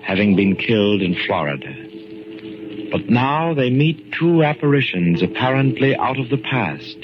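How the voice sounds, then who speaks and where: A man's voice: medium pitch, very animated, measured pace, music in the background. A man narrating a mystery drama in scripted 1940s radio style. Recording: noisy, muffled 1940s radio recording.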